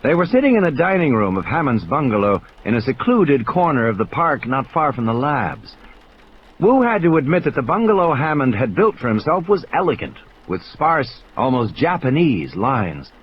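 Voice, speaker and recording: Dramatic male audiobook narrator, widely swooping pitch. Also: big pitch swings, normal speed, lo-fi sound, a little hiss or hum. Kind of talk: scripted dramatic novel narration.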